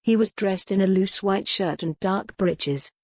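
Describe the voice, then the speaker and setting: A woman's voice: medium pitch, measured pace, dry recording, clean studio sound. A woman reading a scripted historical story as an audiobook narrator.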